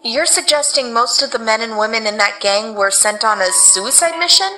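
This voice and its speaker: An actress playing a loyal, practical assistant who speaks earnestly and asks questions. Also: female voice, on the high side, expressive, normal speed, pushed and bright, soft background music, low-quality recording. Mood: concerned. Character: loyal young woman assistant, practical and caring. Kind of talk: radio drama acting.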